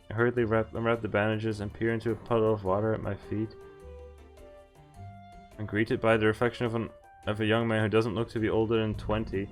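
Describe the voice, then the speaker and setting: A man reading visual novel text aloud on a stream, adding casual, sweary, incredulous asides. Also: fairly low pitch. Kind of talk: reading game text aloud with live reactions. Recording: game music behind the voice. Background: game music.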